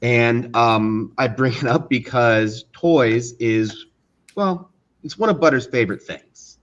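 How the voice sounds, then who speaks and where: A man's voice: medium pitch, takes it slowly. Slightly muffled, lo-fi call audio carries a man's mid-range voice. He is an interview guest who explains casually and hesitantly, stopping mid-thought. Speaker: guest, animation and game creator. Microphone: online video call. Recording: slightly dull, lo-fi online call.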